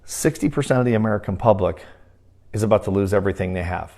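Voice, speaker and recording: A man's voice: lowish voice, quick pace, dark tone. A man giving urgent commentary in a grave, emphatic, warning manner. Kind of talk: urgent economic commentary to camera.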